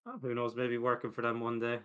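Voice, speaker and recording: A man co-hosting a football watch-along with casual match chat and brief, low-key interjections of agreement. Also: completely flat delivery, fast, bright, forceful tone, clear, resonant tone, highs cut off.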